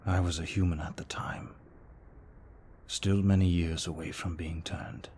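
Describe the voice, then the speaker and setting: A man playing a gentle, remorseful vampire in an ASMR roleplay, speaking slowly and formally in a soft, breathy near-whisper. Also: low voice, steady tone, a little hiss or hum. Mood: haunted, sorrowful. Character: brooding remorseful male vampire, gentle and protective. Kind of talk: ASMR roleplay, scripted monologue.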